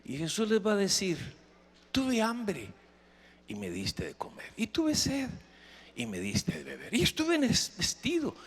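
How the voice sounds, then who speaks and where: Animated Costa Rican preacher, man in a hall. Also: sits high, a little slow, vocal fry, slightly rough. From Costa Rica. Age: middle-aged or older. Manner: emphatic, question-and-answer preaching, repeats phrases for effect. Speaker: pastor preaching. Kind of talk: sermon with anecdotes and questions to the congregation. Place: camp meeting hall with congregation. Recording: reverberant hall, long echo.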